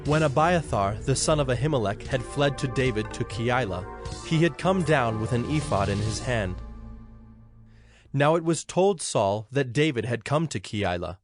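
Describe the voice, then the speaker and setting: A man's voice: medium pitch, animated. Male narrator of scripture over music. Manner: measured, formal storytelling.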